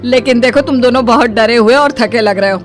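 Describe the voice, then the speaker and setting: A woman playing a middle-aged, self-pitying, sarcastic mother-in-law in an animated story, scolding and complaining dramatically. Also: a little high, brisk, soft background music, lightly acted. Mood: resigned. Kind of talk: animated story character dialogue.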